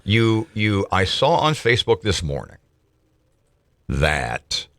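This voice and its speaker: A man's voice: lowish voice, sing-song, slow pace, a bit bright, no room sound. A man hosting a radio talk show, prompting his co-host with questions and wry jokes in the studio. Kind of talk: conversational radio interview.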